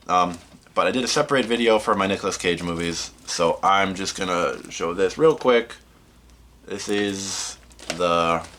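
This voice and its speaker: A man hosting a casual movie collection vlog, rambling and halting as he interrupts himself, slightly sniffly from a cold. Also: deep voice, a bit bright, a little hiss or hum. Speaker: host of a movie collection video channel.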